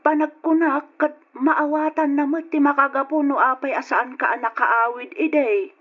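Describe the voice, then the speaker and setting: A woman's voice: sits high, fairly even intonation, normal speed, narrow, tinny audio, close to an everyday voice. A woman playing a kindly elderly grandmother who gives soothing, emphatic advice in an old radio drama, with a Filipino accent. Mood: reassuring.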